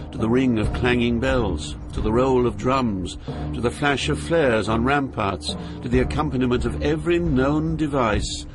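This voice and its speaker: An older actor playing a solemn poet, narrating his journey through hell in a measured, literary way. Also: medium pitch, gravelly. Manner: grave, measured, poetic.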